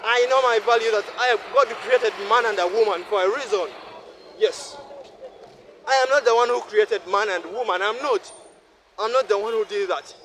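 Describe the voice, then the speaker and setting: A man in his twenties from Uganda, talking to himself in a repetitive, preachy monologue while walking a city street at night, sweaty from walking fast. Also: high voice, a bit bright, slight background noise. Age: 20s. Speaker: vlogger. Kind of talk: rambling night-walk monologue.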